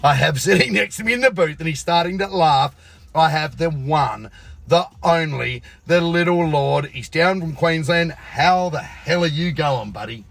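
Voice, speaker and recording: Gravelly Australian man hosting, teasing. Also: a little high, lively intonation, normal speed, fairly bright tone, no echo. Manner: joking, teasing, swears freely. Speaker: podcast host. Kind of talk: casual banter with a guest. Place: radio booth.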